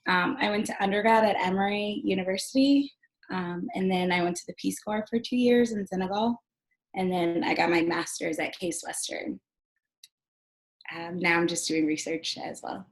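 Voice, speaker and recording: Female, twenties, American accent. Mid-pitched, casual self-introduction. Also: fairly even intonation, moderate pace, low-quality recording. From Ohio, United States. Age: 20s. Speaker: prospective medical student.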